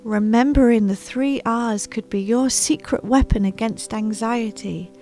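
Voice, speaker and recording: A woman in her thirties reading a picture book aloud as a storytime host, with expressive narration, close to the microphone. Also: a little high, a little slow, faint music behind. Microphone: close microphone on a boom arm.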